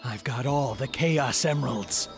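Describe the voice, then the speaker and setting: An actor playing a grim, arrogant android clone in a video game cutscene, speaking in solemn, menacing declarations. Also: male voice, mid-range voice, measured pace, vocal fry. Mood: triumphant. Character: grim, arrogant male android clone, self-proclaimed ultimate life form. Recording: game audio under loud music.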